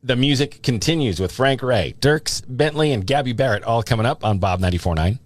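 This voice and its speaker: A man's voice: medium pitch, expressive, brisk. An American man presenting on country music radio in a chatty, casual, promotional style into a studio microphone. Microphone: studio broadcast microphone. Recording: radio aircheck with music behind.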